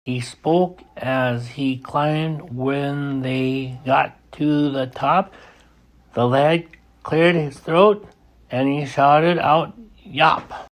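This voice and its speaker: A man reading a rhyming children's storybook aloud, in a halting way with small stumbles. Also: on the high side, steady tone. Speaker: audiobook reader. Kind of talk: storybook narration of rhyming verse.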